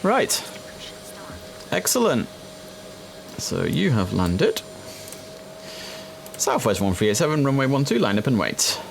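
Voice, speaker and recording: A young man chatting casually through a simulator game, relaxed and filling pauses with ums and uhs. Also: mid-range voice, creaky. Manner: chatty, relaxed, with frequent fillers. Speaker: gaming streamer. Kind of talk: casual gameplay commentary.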